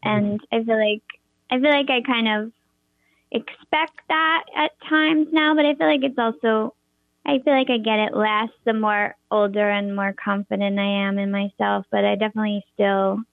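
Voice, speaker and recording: A young woman with a high voice, a performer and teacher, talking in an interview with lots of hesitant fillers and restarts. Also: steady tone, normal speed, gravelly, dry recording. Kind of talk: interview conversation. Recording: tinny phone-like line, dry.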